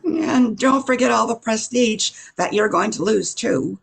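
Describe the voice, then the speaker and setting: Older lady acting bossy 40s radio newswoman, gravelly n husky, wry and sure of herself. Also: a little high, measured pace, full character voice, rough recording. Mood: teasing, wry. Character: brisk, self-assured pioneering female radio newsroom boss, teasing. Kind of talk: 1940s radio comedy acting.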